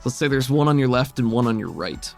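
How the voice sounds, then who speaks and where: A man with a creaky, fry-edged voice runs a tabletop roleplaying game. He narrates scenes and rules in an easygoing, conversational way, with faint music underneath. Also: medium pitch, expressive, fast talker. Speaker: game master. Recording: music behind the voice.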